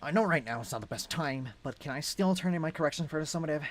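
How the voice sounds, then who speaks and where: Nerdy, oblivious schoolboy played by a man, raised pitch, awkward and pleading, for a script reading. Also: fast, lightly acted. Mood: meek.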